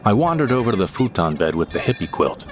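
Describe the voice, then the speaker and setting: A man's voice: lowish voice, very animated, fast talker, music in the background. A man playing a wistful, droll sidekick who narrates a scripted sci-fi comedy audio drama in the first person.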